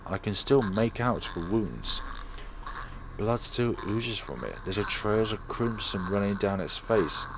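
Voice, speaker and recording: Male let's player, lowish voice, dramatic first-person horror narration from a visual novel, noisy recording. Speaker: let's player reading a game aloud.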